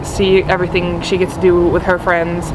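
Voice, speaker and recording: A woman's voice: lowish voice, completely flat delivery, normal speed. A young American woman chatting casually in an interview, leaning on "like" and repeated intensifiers. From United States. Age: young adult. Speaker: film student interviewee. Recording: clear hum of background noise.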